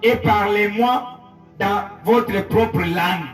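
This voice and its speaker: Preacher guy, high voice, goes hushed then loud, church echo. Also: takes it slowly. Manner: emotional storytelling, swings between hushed and raised. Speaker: pastor. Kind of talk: sermon with interpreter. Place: church hall. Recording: echoey church hall, far from mic, music behind.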